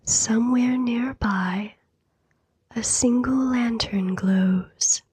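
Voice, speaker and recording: Very slow, breathy whispering woman. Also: on the high side, no room sound. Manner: hushed, soothing, unhurried with long pauses. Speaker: guided sleep meditation narrator. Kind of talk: whispered, scripted sleep meditation narration.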